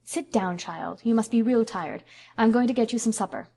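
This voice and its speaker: Stern old lady in her sixties, brisk and plain, woman reading the part. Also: fairly high pitch, creaky, warm tone, slightly rough.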